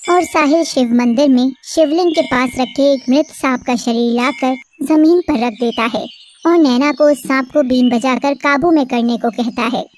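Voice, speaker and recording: A woman narrating an animated story in a steady storytelling cadence. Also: high-pitched, moderate pace, a little hiss or hum.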